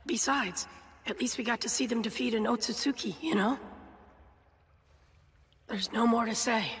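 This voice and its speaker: A woman voicing a grown male ninja hero in a dubbed anime, earnest and sentimental with a husky boyish tone. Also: fairly high pitch, lightly acted. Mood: calm, resigned. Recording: reverberant, music underneath.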